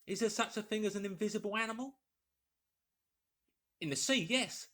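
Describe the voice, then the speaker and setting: A man's voice: high-pitched, moderate pace, bright, forceful tone, voice pushed up. A man voicing an astonished, agitated English doctor who argues with himself in short, emphatic bursts for an audiobook. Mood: musing, excited. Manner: exclamatory, emphatic, musing aloud in short bursts. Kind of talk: dramatised audiobook character voice.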